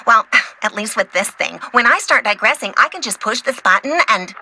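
A woman voicing an eager, quirky, chatty young insect scientist in a video game, excitable and easily sidetracked. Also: on the high side, moderate pace, heavily acted, rough recording. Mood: rambling. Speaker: game character, field entomologist. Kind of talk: animated video game voice acting.

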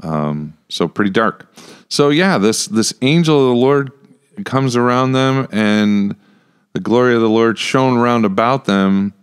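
A man's voice: medium pitch, a little slow, clear, resonant tone. A middle-aged American man podcast host talking casually and thinking aloud, close on a studio mic. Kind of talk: casual discussion. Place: small podcast room with acoustic panels. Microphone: close studio mic on stand.

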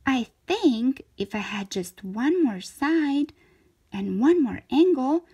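A woman acts out a little storybook shape that is never satisfied. The voice is high and animated, with an earnest, plaintive delivery. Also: slow pace, breathy edge, exaggerated voice. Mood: wistful. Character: restless, dissatisfied little living shape.

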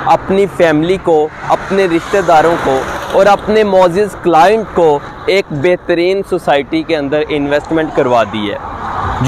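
पाकिस्तानी उर्दू लहजे वाला एक आदमी, बाहर किसी निर्माण साइट पर खड़ा होकर दर्शकों से सीधे बात करता है और जोश के साथ प्लॉट बेचता है।. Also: male voice. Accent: Pakistani Urdu. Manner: upbeat sales pitch, speaks straight to viewers. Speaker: real estate agent. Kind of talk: on-site property promo vlog. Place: outdoors at a construction site by a busy road. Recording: faint outdoor traffic noise.

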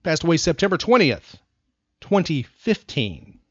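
A man's voice: on the high side, very animated, a little slow, no echo. An American man hosting a casual trivia chat, asking questions and explaining facts in short phrases. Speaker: podcast host and trivia quizmaster. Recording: slightly dull, lo-fi sound.

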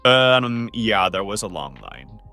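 A man's voice: fairly low pitch, very animated, takes it slowly, pushed and bright, soft background music, heavily acted. A young man voicing a popular teenage boy who is nervous and stammers a lot, juggling two dates. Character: popular teen boy, flustered two-timer. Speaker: voice actor in a fan-made game drama.

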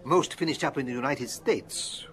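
An actor playing a genial, knowledgeable gem trade informant in a radio drama. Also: male voice, mid-range voice, normal speed, a bit bright, slight background noise, natural voice. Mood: affable, explanatory.

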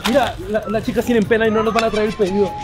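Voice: mid-range voice, fairly even intonation, rapid-fire. A person talking casually on an outing, in and around a car, with music and vehicle sound behind. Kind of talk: casual chat. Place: car and outdoor parking lot.

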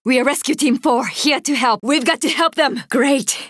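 A woman's voice: high voice, moderate pace, fairly bright tone, no echo, clean studio sound. An actress playing an earnest, resolute young female rescue soldier in a video game, speaking in military callouts. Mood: focused, brisk. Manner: earnest, urgent, military radio phrasing. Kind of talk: video game voice acting, barked combat callouts.

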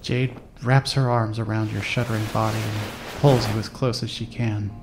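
A man's voice: on the low side, steady tone, normal speed, music in the background, close to an everyday voice. A man reading scripted second-person narration for a fan-made audio drama.

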